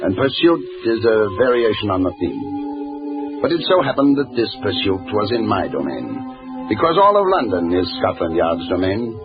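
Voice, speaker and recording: A man's voice: fairly low pitch, sing-song, normal speed. An actor playing a terse, urgent male police inspector in 1940s radio crime drama, clipped and dramatic. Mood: grim, measured. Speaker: narrator and lead actor. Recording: muffled old radio recording with music.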